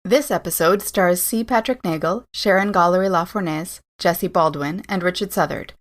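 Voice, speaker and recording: A woman's voice: lowish voice, normal speed. A woman reading scripted podcast credits as an announcer.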